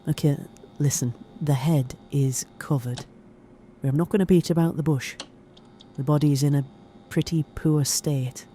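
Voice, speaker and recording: Frank police governor, very mellow dark voice, steady, compassionate woman, audio drama, faint ambience. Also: low voice, measured pace, a little hiss or hum. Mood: grave, businesslike. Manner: plain-spoken, steady.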